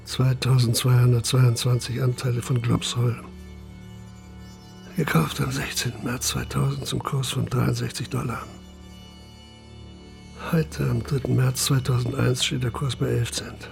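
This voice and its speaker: An actor playing a weary, guarded middle-aged writer with terse speech in a naturalistic radio drama. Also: male voice, mid-range voice, level tone, a little slow, creaky, long pauses, music in the background. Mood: weary, dry. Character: weary, hesitant middle-aged Dutch writer short of money.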